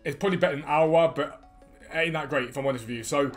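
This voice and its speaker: A man in his thirties with a slightly croaky voice, chatting quickly and eagerly to viewers as a gaming YouTuber, recorded at a desk in a room at home. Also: fairly high pitch, soft background music. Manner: rapid, chatty, enthusiastic. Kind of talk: energetic casual chat to viewers.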